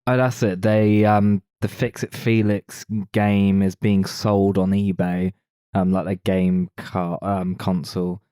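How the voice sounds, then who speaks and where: A man's voice: sits low, flat delivery, slow, dark tone, smooth, dry recording, clean studio sound. A man co-hosting a film podcast, talking casually as he reviews movies.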